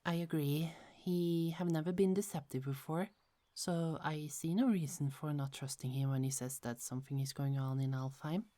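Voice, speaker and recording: A low, mellow female voice, as a woman acts it out, plays a gentle goddess who guards her precious apples. She speaks softly and earnestly and often stumbles before she finds her words. The tone stays warm and never turns sharp. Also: moderate pace, natural voice. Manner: soft, earnest and a bit hesitant, with small stumbles. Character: gentle young goddess keeper of golden apples. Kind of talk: ASMR audio roleplay.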